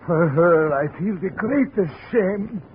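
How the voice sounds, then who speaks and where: A phone-like, faintly hissy old radio drama. a proud immigrant father in middle age, grieving and solemn. He speaks slowly and formally, in a slightly high voice. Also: lively intonation. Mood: anguished shame. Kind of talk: 1950s radio drama acting.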